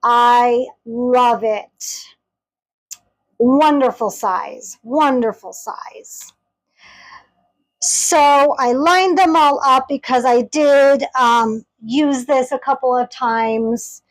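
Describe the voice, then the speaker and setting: Woman, high voice, slow unhurried delivery, enthusiastic, chatty, casual review. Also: rough recording. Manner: gushing, enthusiastic; chatty, addresses viewers directly. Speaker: tarot deck reviewer.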